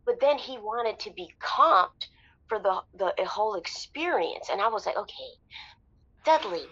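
A woman's voice: medium pitch, animated, a little slow, a bit bright, breathy edge, full character voice. An actress playing a doubtful girlfriend calling a radio advice show in a comedy audio drama, hesitant and venting, with slightly dull caller sound. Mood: aggrieved.

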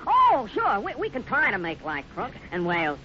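An eager American crook's henchman, sharp and forceful with lively pitch. He is sly and slangy in old radio serial style, on a muffled recording with faint hiss. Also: moderate pace, exaggerated voice. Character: eager shady male robber henchman. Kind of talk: 1950s radio serial acting.

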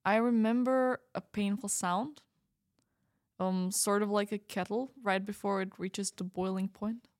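A woman playing a podcast guest who recounts an eerie backstory in a calm, hesitant way. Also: medium pitch, a little slow, no room sound, clean studio sound, lightly acted. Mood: hesitant, grave. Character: female community resident recounting an eerie night. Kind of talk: fiction podcast backstory monologue.

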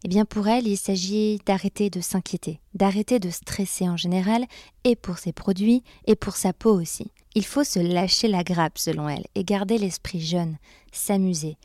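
A woman's voice: medium pitch, a little fast, dark tone, no room sound. Dry-voiced woman interviewer. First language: French. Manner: brief agreeing replies. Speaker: interviewer and narrator. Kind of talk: interview with narrated voice-over.

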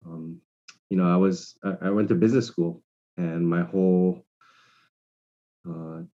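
A man, speaking as a guest in a measured, reflective way over a remote call. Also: low voice, fairly even intonation, mellow, muffled recording. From Hawaii. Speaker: guest entrepreneur. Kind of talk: casual conversation.

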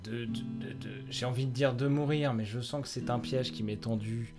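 A man's voice: mid-range voice, steady tone, normal speed, over music. A man, a contestant in a comic podcast quiz, joking his way through his answers. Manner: playful, wisecracking. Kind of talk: casual banter.

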